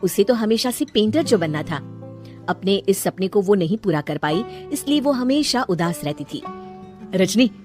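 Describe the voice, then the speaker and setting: A woman tells a scripted cartoon tale in a medium-pitched voice. Her intonation moves up and down in an animated way, and soft music plays behind her. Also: normal speed. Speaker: storyteller. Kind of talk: scripted cartoon story narration.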